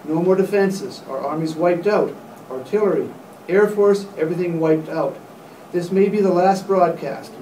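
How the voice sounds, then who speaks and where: A man playing a solemn radio reporter gives a grave eyewitness account in a radio drama. The voice is rather high, recorded from far off in an echoey room with faint noise. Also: normal speed, warm tone, close to an everyday voice. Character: grave radio announcer witnessing an invasion from a rooftop. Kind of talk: live radio play reading. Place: hotel meeting room, panel table. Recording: distant camera mic in echoey room.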